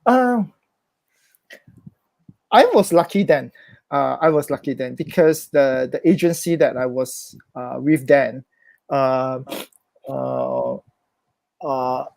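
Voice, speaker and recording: A man whose first language is Chinese answers interview questions in English, pausing and restarting as he thinks. His voice has a gravelly, creaky edge at a middling pitch, and the sound is close and dry with no room echo. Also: warm tone. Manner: hesitant, thoughtful, many restarts. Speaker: interview guest, social worker. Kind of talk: reflective conversational interview.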